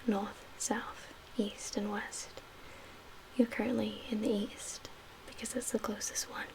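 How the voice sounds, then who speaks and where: A woman playing a calm survivor camp leader in a soft-spoken ASMR roleplay, with a breathy, whispery voice and a gentle, measured, hushed manner. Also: medium pitch, poor audio. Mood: calm, explaining. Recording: clear background ambient noise.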